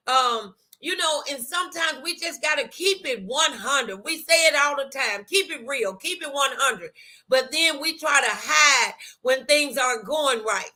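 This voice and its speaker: A woman's voice: sits high, pushed and bright. An American woman hosting an inspirational faith talk, speaking in a folksy, emphatic, exhorting way with repeated phrases and direct address to her viewers. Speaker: host of an inspirational talk show.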